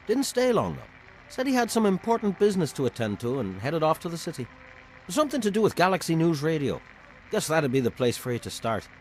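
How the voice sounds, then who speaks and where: An actor playing a sly, mercenary information dealer in a game, with a smug, oily, teasing manner. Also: male voice, fairly high pitch, big pitch swings, brisk, slight background noise, poor audio. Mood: smug, wheedling.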